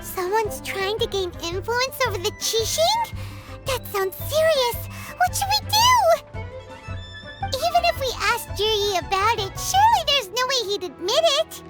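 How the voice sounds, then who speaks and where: Little fairy buddy, super high squeaky voice, curious and excitable, game music loud behind her. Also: unhurried, heavily acted. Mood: puzzled. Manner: squeaky, exclaiming, childlike. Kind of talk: video game voice acting.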